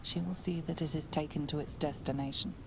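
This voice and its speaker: A woman playing a solemn fantasy game narrator, measured and foreboding, with creaky, breathy phrase ends. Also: deep voice, steady tone, over a noisy crowd. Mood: solemn, ominous. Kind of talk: dramatic second-person game narration.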